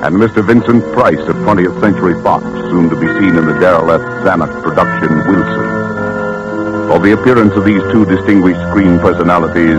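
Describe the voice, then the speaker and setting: Deep-voiced formal 1940s radio announcer. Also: male voice. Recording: muffled vintage radio broadcast, loud music.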